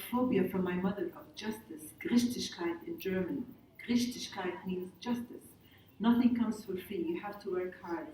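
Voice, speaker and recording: A woman's voice: mid-range voice, warm tone. A woman journalist from Jordan, telling her story on a conference panel in short, emphatic sentences. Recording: very echoey hall, distant mic, faint noise.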